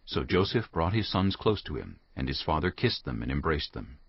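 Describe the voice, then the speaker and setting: Deep, husky male scripture narrator. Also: very animated, normal speed, dry recording. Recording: muffled lo-fi audio.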